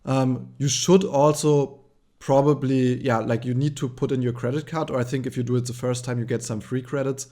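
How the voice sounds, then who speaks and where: A man with a German accent hosting a relaxed screen-share tutorial, thinking aloud with frequent ums and likes. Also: medium pitch, moderate pace. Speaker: tutorial host.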